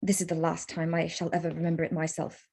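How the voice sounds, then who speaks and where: A woman playing a witty, spirited young gentlewoman in a staged reading of a Regency romance, arch and composed. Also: deep voice, monotone, fast talker, vocal fry, slightly rough, heavily acted. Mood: happy, sincere. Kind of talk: staged reading of a period drama.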